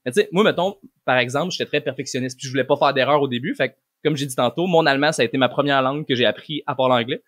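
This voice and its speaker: A man's voice: medium pitch, racing, hardly any pauses, a bit bright, no echo, clean studio sound. A man with a Québécois French accent, a guest language coach explaining things casually and in slangy, informal words. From Quebec, Canada.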